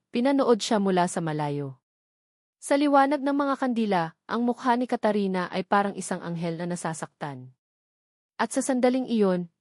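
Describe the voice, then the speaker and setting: A woman reading a scripted romantic drama story as narrator in a steady, even manner. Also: mid-range voice, no echo, studio quality.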